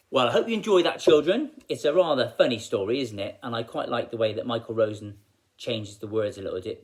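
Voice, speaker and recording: A man reading aloud as a storyteller for children, in an expressive style. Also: mid-range voice.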